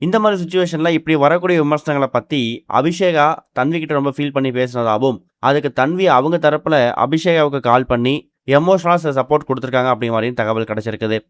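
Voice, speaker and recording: A man's voice: fairly high pitch, fast talker, no room sound. A man reading a scripted entertainment news voice-over as narrator.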